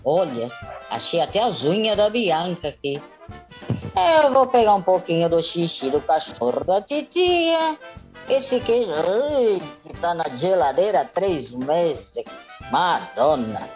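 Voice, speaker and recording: A woman's voice: lowish voice, big pitch swings, slow, darkish tone, faint music behind. Woman playing a swooping, spiteful young schemer. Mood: mischievous scheming. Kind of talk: comic radio soap acting.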